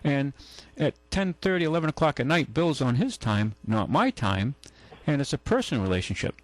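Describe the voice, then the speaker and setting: A man's voice: medium pitch, sing-song, brisk, no room sound. A man hosting a talk radio show, telling long anecdotes with many restarts and acting out the quotes. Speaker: radio show host and car dealer. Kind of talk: casual on-air storytelling. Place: radio studio.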